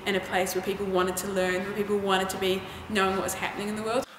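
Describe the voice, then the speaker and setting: A woman's voice: mid-range voice, flat delivery, fast talker. An earnest young woman speaks in short, eager testimonial clips. Her voice rings in a long, echoey hall over a faint hiss of background noise. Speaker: student alumna.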